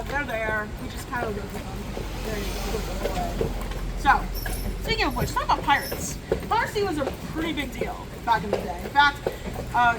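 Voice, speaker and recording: Woman tour guide, high voice, relaxed storytelling, dry humor, outdoors at night, raw phone footage, loud street and traffic noise. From Michigan, USA. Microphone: audience phone recording the guide from a few steps away.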